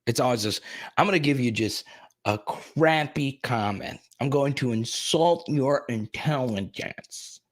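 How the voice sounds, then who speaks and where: A man's voice: mid-range voice, measured pace. A young man hosting a sports live stream, rambling casually while reading and answering viewers' chat comments in his plain, everyday voice.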